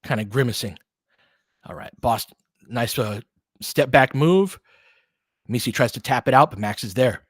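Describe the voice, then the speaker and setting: Male play-by-play host for basketball. Conversational, thinks out loud, breaks down the action, recorded dry. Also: mid-range voice, normal speed, pauses often, husky, dry recording. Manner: conversational, thinking aloud, analytical. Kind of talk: live basketball commentary with casual asides.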